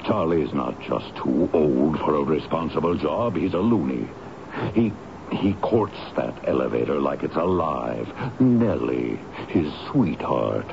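Very deep, gravelly, scornful man. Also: animated, unhurried, exaggerated voice. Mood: gruff, dismissive. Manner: curt, sardonic, dismissive. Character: gruff, hard-hearted male tenant, scornful and unsentimental. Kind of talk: old-time radio drama acting. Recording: dull, noisy radio drama recording.

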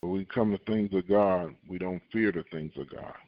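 A very deep-voiced man preaches a sermon, reading scripture and slowing down to repeat his key lines. He acts out fretful believers in a higher, louder voice. The recording is dry and a little dull. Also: fairly even intonation, no echo. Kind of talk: podcast sermon.

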